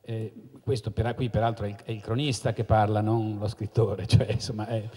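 A man's voice: lowish voice, flat delivery, normal speed, dark tone. A middle-aged man, an author on a panel, speaking in a thoughtful, hesitant, self-ironic way with a handheld mic on stage. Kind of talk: casual panel talk. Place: stage in a large hall. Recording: long hall reverb.

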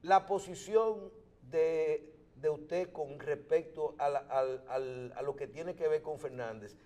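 A man with a Dominican accent interviewing on a political show, phrasing questions in a halting, roundabout way with repeated words. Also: on the high side, dark tone.

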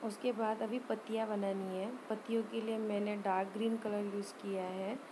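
A woman giving an informal step-by-step craft tutorial voice-over, hesitant and often pausing mid-sentence. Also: medium pitch, flat delivery, background noise. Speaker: rangoli art tutorial presenter.

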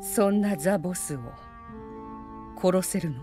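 An actress playing a poised young female spy in a video game cutscene, speaking in a cool, intimate, deliberate way. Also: fairly low pitch, close to an everyday voice. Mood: probing, intimate. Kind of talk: dramatic game cutscene acting. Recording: music behind the voice.

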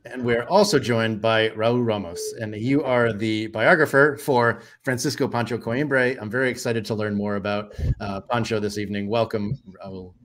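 A middle-aged man hosting an online interview, giving upbeat, measured introductions over a headset. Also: on the low side, fairly even intonation, fairly bright tone. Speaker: podcast host. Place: home room. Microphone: headset microphone on video call.

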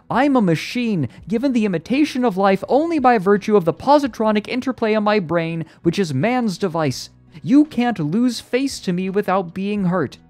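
Shrill, frantic robot. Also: male voice, darkish tone.

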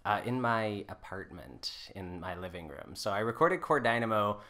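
A man with a fairly low but bright, forceful voice chats as a podcast guest. He is informal and self-deprecating, scattering "like" through his sentences. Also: animated, normal speed. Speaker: podcast guest, folk musician. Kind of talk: casual chat.

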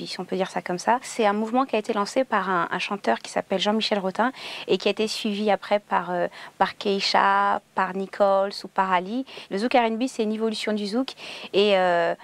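A young woman speaking fluently and lively in a mid-range voice. She is on a sofa in a recording studio. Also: mid-range voice. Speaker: singer. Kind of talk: interview talk.

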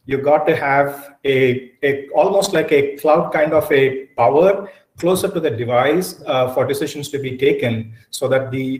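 Man, middle-aged, medium pitch, halting explanatory keynote delivery, roomy video-call echo. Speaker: keynote speaker, technology executive. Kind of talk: online conference presentation. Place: indoor room, on a video call.

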